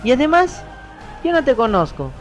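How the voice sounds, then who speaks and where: A woman's voice: high voice, big pitch swings, normal speed, faint music behind. Woman playing a glum, hesitant schoolboy. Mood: hesitant, troubled. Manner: plaintive, unsure. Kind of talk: educational radio soap acting.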